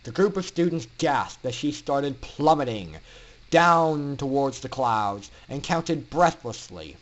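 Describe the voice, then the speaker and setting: A man narrating a story in a theatrical, emphatic style with dramatic pauses. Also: mid-range voice, animated, low-quality recording. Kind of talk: dramatic fan-fiction story reading.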